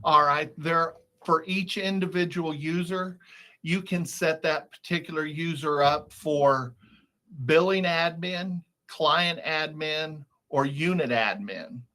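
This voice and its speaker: A man with a bright, forward voice leads a software tutorial over a video call. He speaks slowly and a little high for a man, explaining each step in a matter-of-fact, instructional way. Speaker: software support trainer. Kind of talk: screen-share software walkthrough, instructional.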